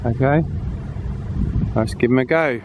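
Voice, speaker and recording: A man with a mid-pitched voice talks casually through a hands-on demonstration, thinking aloud as he goes. There is some faint noise behind him. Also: a little slow. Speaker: host of radio repair channel.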